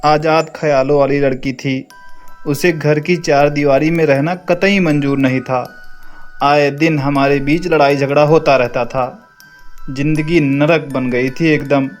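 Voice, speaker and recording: A man's voice: a little high, steady tone, unhurried, soft background music, lightly acted. A man playing a hesitant, heartbroken former lover in an audio story, speaking earnestly. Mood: resigned. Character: Vishal, lovelorn, hesitant divorced man nursing old heartbreak. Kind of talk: acted audio story dialogue.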